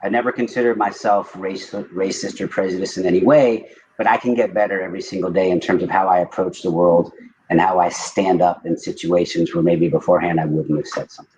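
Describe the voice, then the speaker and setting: A man's voice: low-pitched, steady tone, a little fast, a little mellow. A man speaking as a panelist in a reflective, casual conversation, candid and self-deprecating, repeating words for emphasis. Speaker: discussion panelist. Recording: dull, clearly noisy recording.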